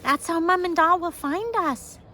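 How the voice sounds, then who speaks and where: A woman voicing a gentle, steadfast sister in a storybook, speaking softly and reassuringly. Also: very high voice, a little slow, slight background noise, voice pushed up, heavily acted. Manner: soft, reassuring. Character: gentle, steadfast older sister comforting a frightened girl.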